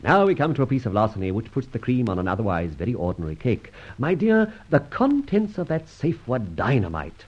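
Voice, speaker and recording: Radio serial: suave, mock-courteous masked rogue, hugely animated delivery, slightly husky, pompous and teasing. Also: male voice, medium pitch, brisk, few pauses. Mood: smug, explaining. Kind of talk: old radio serial acting. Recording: dull old radio recording.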